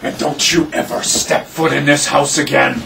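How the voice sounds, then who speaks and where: A man playing an exasperated, blustering father in a farcical stage comedy, with a creaky voice and melodramatic delivery. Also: on the high side, fairly even intonation, moderate pace. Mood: scornful, indignant. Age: middle-aged or older character. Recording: roomy, distant mic, faint noise.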